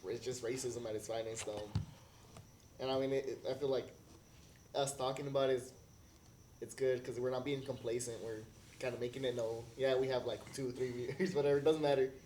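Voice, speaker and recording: A man's voice: medium pitch, steady tone, brisk, mellow. A man co-hosting a podcast, talking in a casual, slangy storytelling way and quoting dialogue as he goes. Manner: informal, slangy, acts out quotes. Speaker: podcast co-host. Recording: echoey room, faint background noise.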